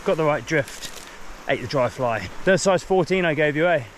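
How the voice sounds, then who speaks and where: A man in his forties with a New Zealand accent, talking excitedly to an action camera while fly fishing outdoors by a forest river. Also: a little high, normal speed. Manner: enthusiastic, exclaims often. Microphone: action camera, chest or handheld. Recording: outdoor river recording with clear background noise. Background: flowing river.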